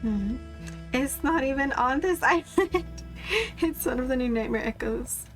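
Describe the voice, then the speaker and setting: A woman's voice: high-pitched, a little slow. A woman streaming a game, giving casual, playful live commentary and reacting aloud. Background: game music.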